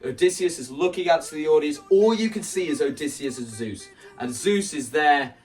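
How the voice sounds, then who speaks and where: A man explaining his staging ideas to camera in a casual, animated way, like a video creator walking viewers through a plan. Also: a little high, moderate pace. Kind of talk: casual spoken walkthrough to camera. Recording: voice distant from the mic, music underneath.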